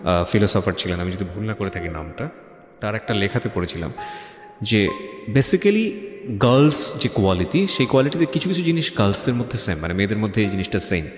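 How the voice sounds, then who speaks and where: Deep, expressive Bangladeshi male radio host. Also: quick pace. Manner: mixes English phrases into speech, advisory. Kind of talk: reflective radio interview hosting. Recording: echoey reverb, faint music bed. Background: faint music.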